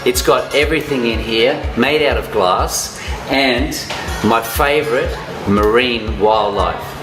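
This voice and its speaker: A man's voice: mid-range voice, slow. Man, travel host, upbeat, casual slang, echoey room, faint music. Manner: chatty, upbeat, uses Australian slang. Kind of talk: casual travel show chat.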